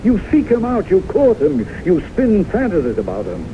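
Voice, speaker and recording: An actor playing an earnest, persuasive doctor and devoted lover, with emphatic, deliberate phrasing in 1970s radio drama style. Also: male voice, high-pitched, huge pitch swings. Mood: forceful. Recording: noisy, muffled old radio broadcast recording.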